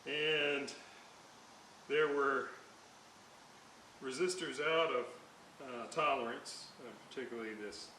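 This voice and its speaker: A man giving casual hands-on commentary as he repairs equipment, halting and muttering while he works. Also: medium pitch, sharp tone. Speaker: hobbyist repairer narrating a restoration. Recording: hissy, roomy, distant microphone.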